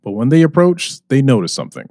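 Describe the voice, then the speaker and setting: A man acting as a wry, theatrical narrator, reading scripted radio drama narration in a deliberate storytelling manner. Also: medium pitch, very animated, a little mellow, dry recording, clean studio sound. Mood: dramatic. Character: dramatic, wry male narrator of a mystery serial.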